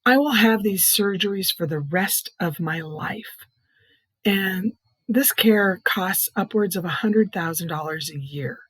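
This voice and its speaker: A middle-aged or older woman with a soft, hoarse, breathy voice, giving an earnest personal testimony in plain, explanatory words. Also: medium pitch, unhurried, dry recording. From Iowa, United States. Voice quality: soft, hoarse, breathy voice from vocal cord growths. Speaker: patient advocate, child care nurse consultant.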